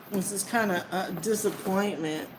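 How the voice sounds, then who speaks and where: A woman's voice: medium pitch, slow, background noise. A woman chatting casually to viewers in an informal, meandering way, often trailing off mid-thought. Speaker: reader of books for listeners. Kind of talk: casual rambling chat to viewers.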